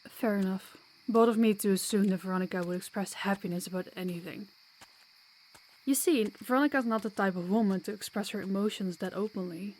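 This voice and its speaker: A woman's voice: mid-range voice, a little fast, darkish tone, slight background noise, lightly acted, poor audio. A woman playing a sociable, kind vampire guide in an audio roleplay, chatty and reassuring with a playful streak. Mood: curious, wry.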